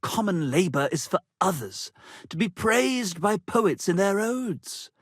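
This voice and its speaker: A man voicing a pompous, flattering, melodramatic medieval minstrel in a video game, with florid, grandiose delivery. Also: high voice, takes it slowly, no room sound, studio quality, heavily acted. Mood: haughty. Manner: florid, grandiose, declamatory, swinging between rapture and despair. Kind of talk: theatrical video game character acting.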